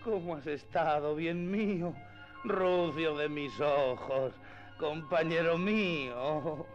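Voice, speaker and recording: A man's voice: fairly high pitch, slow pace, highs cut off. A man playing a simple, outspoken, good-hearted peasant squire in a radio drama, rustic and deferential yet cheeky. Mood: tender. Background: faint background music.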